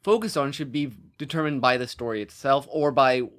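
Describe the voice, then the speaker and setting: A man talking casually and emphatically as a podcast co-host, in an informal, animated discussion. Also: medium pitch, a little fast. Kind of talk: casual conversational discussion of film and storytelling.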